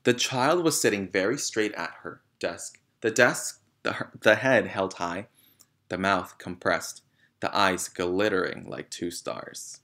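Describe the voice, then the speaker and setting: A man's voice: sits low, slow, bright, forceful tone. A man reading a children's novel aloud as narrator. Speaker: reader.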